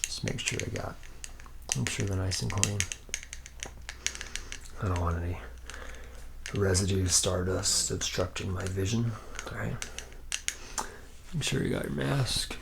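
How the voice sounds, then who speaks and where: A man's voice: low voice, fairly even intonation, unhurried, creaky, long pauses, background noise, heavily acted. A man playing a laid-back, boozy, womanizing factory worker from the future in an ASMR roleplay, rambling slangily and often dropping into a whisper. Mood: casual instructing.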